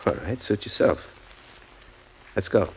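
A man's voice: fairly low pitch, expressive, unhurried, slight background noise, poor audio. An actor playing a hard-boiled man narrating his own downfall in a 1940s radio drama. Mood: weary. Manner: hard-boiled, bitter first-person narration. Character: hard-boiled condemned man, weary and bitter. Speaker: narrator and lead, a man arrested for murder. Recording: old radio recording with music.

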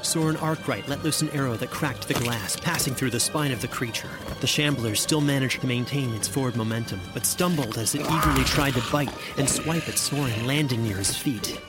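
A man's voice: medium pitch, a little fast, hardly any pauses. A man narrating a podcast promo with a dramatic, ominous trailer delivery. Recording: music bed underneath.

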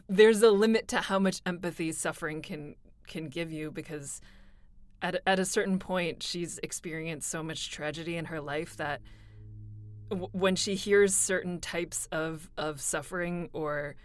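A female voice actor talking thoughtfully about her character, often pausing to find words. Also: low-pitched, steady tone, no echo. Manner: thoughtful, trails off in pauses. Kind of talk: casual behind-the-scenes chat.

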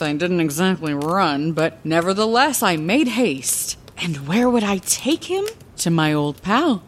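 A woman's voice: medium pitch, a little hiss or hum. An actress playing an older woman lighthouse keeper who reminisces in a playful, dramatic, conversational way, like bedtime fantasy storytelling in an audio drama. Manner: expressive, conversational, theatrical.

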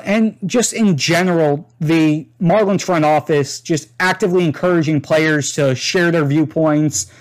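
A man hosting a sports podcast, giving measured, informative commentary. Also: on the high side. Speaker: podcast host and reporter.

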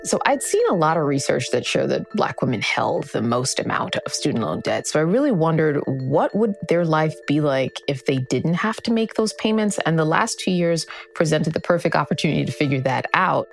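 A woman reporter explaining her reporting in a steady way during a news podcast interview. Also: sits low, moderate pace, vocal fry, few pauses, faint music behind.

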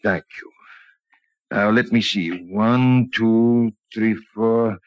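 Courteous, cautious older innkeeper, dry sound. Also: male voice, fairly low pitch, steady tone, clean tone, no echo, muffled recording. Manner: hesitant, formal, deliberate. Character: cautious, courteous older male hotel proprietor. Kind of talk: 1940s radio acting. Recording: vintage 1940s radio drama recording.

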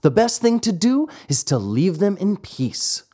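Reader as an earnest, proud puppet boy, indignant, lively. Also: mid-range voice, a little slow, voice pushed up.

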